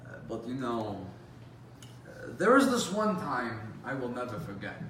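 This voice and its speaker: A man playing a world-weary, animated police officer telling stories from the beat in a theatrical, conversational way with dramatic pauses. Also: medium pitch, voice pushed down. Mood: sombre, reflective. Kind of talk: competitive dramatic monologue performance. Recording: roomy, distant mic with faint noise.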